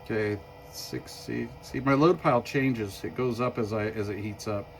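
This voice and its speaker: A man giving a casual hands-on demo as a hobbyist host, folksy and thinking out loud. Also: on the low side, normal speed, background noise. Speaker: hobbyist host demonstrating a homemade power supply. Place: workshop bench.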